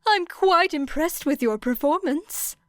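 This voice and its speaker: A woman voicing a small, clever male animal school principal in an audiobook, bright and pleasantly formal. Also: high-pitched, animated, measured pace, dry recording, clean studio sound, pitched above the performer's own voice. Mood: bright, polite. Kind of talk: audiobook character voice.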